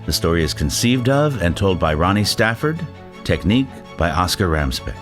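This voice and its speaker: A male announcer gives a scripted introduction to an audio drama. His voice is deep and moves with lively intonation, while music plays behind him. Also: normal speed. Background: music under the voice.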